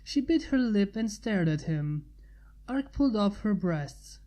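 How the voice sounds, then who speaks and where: A woman narrates a piece of fan fiction, reading it aloud as a story. Her voice is dark and mellow, at a middling pitch for a woman. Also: sing-song, a little slow.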